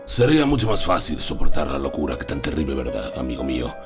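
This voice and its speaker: A man's voice: a bass voice, sing-song, quick pace. A man playing a solemn, persuasive older professor in a dramatized audiobook. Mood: pained, solemn. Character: solemn, persuasive older male professor confiding terrible truths. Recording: music under the voice.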